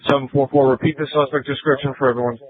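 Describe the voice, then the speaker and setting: A man's voice: mid-range voice, level tone, rapid-fire, slightly rough, dry recording. A police officer, a man, giving a terse report over police radio on a tinny scanner recording.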